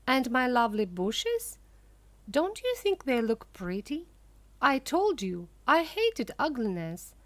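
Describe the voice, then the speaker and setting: A woman reading aloud and voicing an eccentric, excitable male chocolate maker who gushes proudly over his creations. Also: sits high, slow pace, dry recording, full character voice. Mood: gushing. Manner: gushing, showy and proud.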